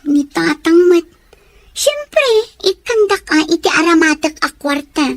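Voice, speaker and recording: A woman's voice: extremely high pitch, sing-song, unhurried, no echo, studio quality, heavily acted. A young woman playing a spoiled, whiny teenage daughter in a radio drama, talking in a pleading, petulant way.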